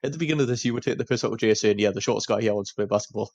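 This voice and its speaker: Fast-talking low man. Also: a little mellow, no echo, highs cut off. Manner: argumentative, piling up points, restarts. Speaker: podcast co-host. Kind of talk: casual podcast banter.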